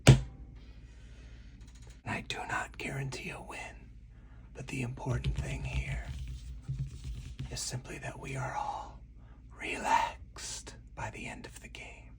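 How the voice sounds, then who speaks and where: Someone speaking in a breathy, deliberate, hushed whisper, in the style of an ASMR game playthrough with commentary. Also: medium pitch, little pitch movement, distant mic. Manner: slow, deliberate, hushed delivery. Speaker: solo card game player and host.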